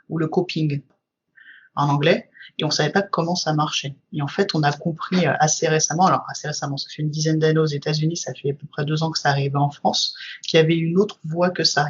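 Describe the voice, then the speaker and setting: A woman's voice: low-pitched, fairly even intonation, quick pace, slightly rough, lo-fi sound. A woman, a guest trainer, explaining her subject at length in casual conversation, with frequent fillers.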